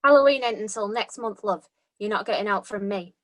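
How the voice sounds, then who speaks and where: A woman's voice: mid-range voice, fairly quick, lightly acted. A woman playing an unimpressed British mum in her late twenties, casual and dismissive, in a script reading.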